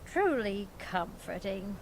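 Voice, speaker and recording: Fussy female mage teacher, mid-pitched, earnest, emphatic, game acting with clear background noise. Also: sing-song, slow. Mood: earnest, admiring. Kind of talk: fantasy video game acting.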